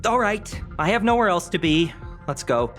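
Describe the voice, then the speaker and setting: A woman's voice: lowish voice, sing-song, moderate pace. A woman voicing an ambitious, theatrical young businesswoman with an eye for money in a video game. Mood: impatient. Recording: music behind the voice.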